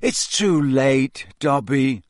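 A nervous teenage boy wizard, as a male narrator voices him, sounding panicky and out of breath. His pitch sits a touch above a grown man's and jumps around anxiously. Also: very animated, measured pace, slightly rough, dry recording, clean studio sound, heavily acted.

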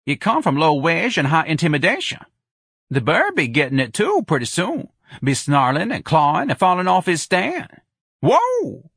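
A man voicing a sly, put-upon young bar porter in an audiobook, grumbling and defiant with drawn-out exclamations. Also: on the high side, huge pitch swings, vocal fry, a bit bright, husky, no echo, studio quality, pitched above the performer's own voice, full character voice. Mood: mocking.

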